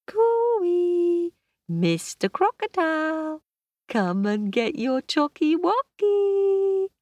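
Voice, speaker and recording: A woman voicing a spirited young schoolgirl with a bright, exclaiming manner. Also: lowish voice, fairly quick, no echo. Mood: calling. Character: spirited young schoolgirl, bossy but kind.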